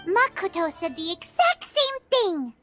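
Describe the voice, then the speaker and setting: Excitable little fairy companion: high squeaky childlike voice, lively swoops, eager exclamations. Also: female voice, a little slow, heavily acted. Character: tiny excitable floating fairy companion, female. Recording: game audio with music underneath.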